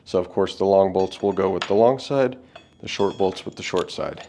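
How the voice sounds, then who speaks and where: A man's voice: sits low, normal speed, mellow, slight background noise. A man hosting an unboxing, giving casual, plain-spoken step-by-step setup instructions. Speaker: product unboxing host.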